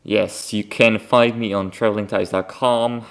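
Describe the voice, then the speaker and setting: A man's voice: fairly low pitch, steady tone, a little slow, gravelly, fairly bright tone. A young man from the Netherlands with a Dutch accent, hosting a casual solo podcast in an informal, rambling way. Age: young adult. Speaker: podcast host.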